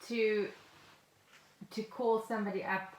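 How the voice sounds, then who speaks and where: The room echoes noticeably around a woman's voice. She speaks at an average female pitch as a podcast co-host. Her delivery is casual and conversational, given to questions. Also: level tone, unhurried. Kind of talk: casual chat. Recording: roomy, echoing room sound.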